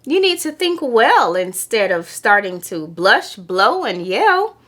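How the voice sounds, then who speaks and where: A grumpy, sulky parrot from a children's storybook, as a woman puts him on. His voice is bright, lively and unhurried. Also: on the high side, heavily acted. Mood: advising.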